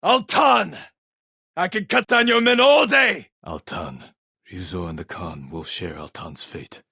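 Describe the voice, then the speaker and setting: An actor playing a solemn, resolute samurai warrior in a video game, speaking in a measured, earnest, formal way. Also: male voice, fairly high pitch, vocal fry, sharp tone, breathy edge, no echo, studio quality, heavily acted. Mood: shouting, commanding, then quiet menace. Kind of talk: dramatic video game voice acting.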